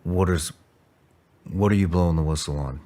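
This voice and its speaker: A man with a very low voice works as an interviewer. His questions are short and plainly worded, probing in a conversational style. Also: normal speed, mellow. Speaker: interviewer, podcast host.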